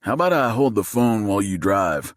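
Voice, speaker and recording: A man's voice: lowish voice, lively intonation, a little fast, no room sound, studio quality, natural voice. A man voicing a wry, cautious male passenger in an audiobook. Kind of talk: audiobook character acting.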